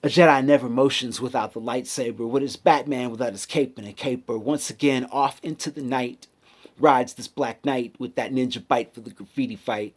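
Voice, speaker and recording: A man performing spoken word poetry with a hip-hop cadence, rhythmic and emphatic, pausing deliberately between phrases. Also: medium pitch, fairly even intonation. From United States city.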